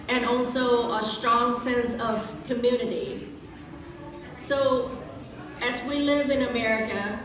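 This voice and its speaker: A middle-aged woman from Vietnam with a Vietnamese accent, giving an earnest, careful prepared speech at a community event with frequent restarts. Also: a little high. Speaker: guest speaker. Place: large echoey event hall. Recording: echoey hall, voice from far off.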